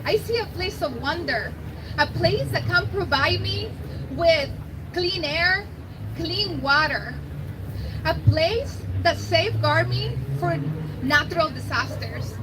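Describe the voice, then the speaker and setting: A woman from Mexico with a Mexican Spanish accent, giving an earnest, deliberate speech at an outdoor climate rally. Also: extremely high pitch, lively intonation, noisy background. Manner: earnest, slow and deliberate, grateful. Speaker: rally speaker.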